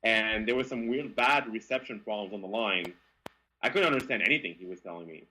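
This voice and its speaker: A man from Quebec with a Quebec French accent, telling stories as an interview guest in a lively, stammering way. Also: lowish voice, fairly quick, a little hiss or hum, poor audio. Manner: animated, frequent stammering restarts. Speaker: guest, car reviewer. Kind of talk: casual interview storytelling.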